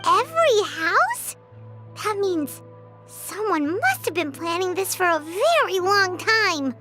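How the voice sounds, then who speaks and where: A woman voicing a tiny floating female companion, excitable and blunt, in a video game. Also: very high-pitched, music in the background, heavily acted. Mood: surprised. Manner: quick indignant outbursts.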